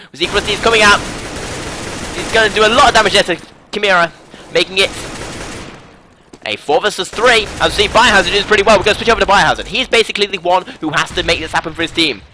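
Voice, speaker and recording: Bright-voiced British esports caster. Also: male voice, high voice, a little hiss or hum. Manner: upbeat, rambling, jokes between rounds. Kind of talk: live game commentary.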